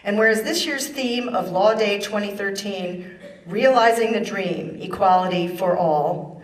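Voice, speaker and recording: A woman speaking formally and in a measured way as an official at a ceremony. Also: medium pitch. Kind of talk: formal ceremony speech and proclamation reading. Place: large echoey indoor ceremony room. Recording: echoey room, distant microphone.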